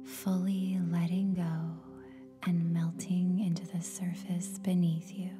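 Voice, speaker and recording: A woman with a soft, breathy, near-whispered voice guides a meditation in a soothing, gentle way, leaving long pauses between phrases. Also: on the low side, little pitch movement. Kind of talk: guided meditation, scripted narration. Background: meditation music with singing bowl tones.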